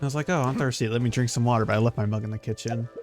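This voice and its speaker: A male game streamer talks in an informal, meandering way, as if to his regulars in chat. His pitch sits in a middle range for a man. Faint game music plays behind his voice. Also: steady tone, racing, a little mellow. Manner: rambling, informal. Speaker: livestreamer playing a video game.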